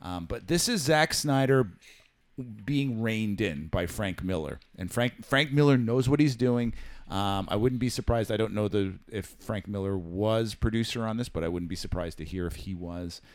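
A man hosting a casual film podcast, giving a measured review with dry jokes and "um" fillers. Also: on the low side. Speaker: podcast host.

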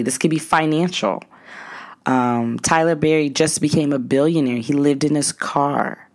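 A woman in her twenties giving a casual, reflective motivational monologue, earnest and emphatic, repeating phrases for stress. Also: a bass voice. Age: 20s.

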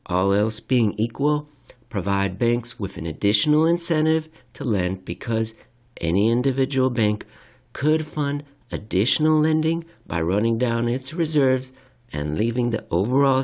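A man voicing a male central bank official who reports formally and deliberately to a meeting, in a dramatised essay reading. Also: medium pitch, darkish tone, voice pushed up. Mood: formal, explanatory.

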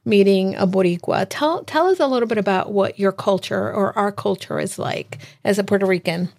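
Creaky-voiced woman podcast host. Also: mid-range voice, fairly quick. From United States. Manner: warm affirming, frequent mm-hmms. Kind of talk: casual interview chat.

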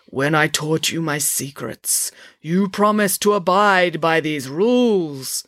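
A stern, theatrical storybook sorceress, resonant and imperious. Each phrase is drawn out slowly and intoned with big sweeps of pitch, recorded dry and close. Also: female voice, lively intonation, a bit bright, dry recording, exaggerated voice. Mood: stern, booming. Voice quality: resonant, booming. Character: grand exotic fortune-teller sorceress, stern and theatrical.

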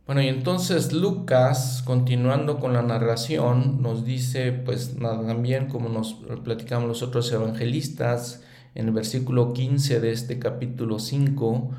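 A man's voice: mid-range voice, steady tone, a little slow, warm tone, reverberant. A middle-aged man giving a reflective religious lesson, earnest and thinking aloud as he speaks. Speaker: scripture study teacher.

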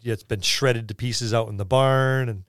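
A male podcast co-host chats casually about tabletop gaming. His voice sits at an average pitch, and the sound is close and dry, with very little room in it. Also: steady tone, moderate pace, a bit bright, no echo.

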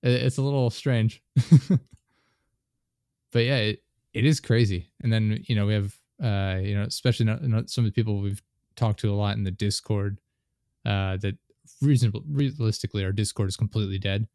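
A man's voice: lowish voice, steady tone, normal speed, dark tone, smooth, dry recording, clean studio sound. A man in his late twenties hosting a casual podcast chat, rambling with many fillers and restarts. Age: 20s. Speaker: podcast host.

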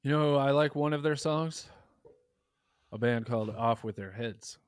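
A man's voice: mid-range voice, a little slow, clean tone, no echo, clean studio sound. A man co-hosting a casual podcast, joking and rambling, often trailing off into mumbles. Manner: jokey, rambling, drops to mumbles. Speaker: podcast co-host. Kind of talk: casual chat.

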